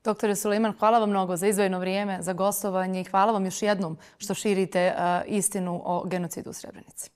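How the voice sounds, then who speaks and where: A woman with a Bosnian accent hosting a TV interview, asking questions in a measured, formal way. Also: mid-range voice, little pitch movement, a little mellow, clear, resonant tone.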